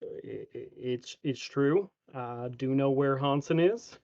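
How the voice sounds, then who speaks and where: A man voicing a bold, formal adventurer in a tabletop game, measured and defiant. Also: mid-range voice, creaky, darkish tone, dry recording, lo-fi sound. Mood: guarded. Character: bold, formal male adventurer challenging cultists.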